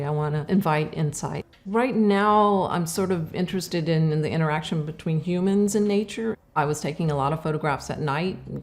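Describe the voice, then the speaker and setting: Low-voiced reflective middle-aged woman. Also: a little mellow. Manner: reflective, halting, with many fillers and restarts. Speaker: artist. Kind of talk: casual interview talk.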